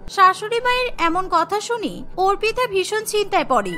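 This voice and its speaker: খুব চড়া গলার নারী গল্পকথক. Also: female voice, very high voice, very animated, moderate pace, bright, forceful tone, faint music behind. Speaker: narrator. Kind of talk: scripted cartoon story narration.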